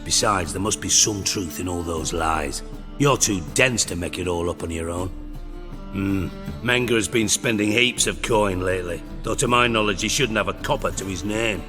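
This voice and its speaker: An actor playing a cynical middle-aged spymaster in a video game, with a British accent and a mockingly polite, wry, profane way of talking. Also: male voice, low-pitched, normal speed, in a large hall, over music, natural voice. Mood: scornful. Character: cynical, shrewd, sarcastic male underworld spymaster, middle-aged.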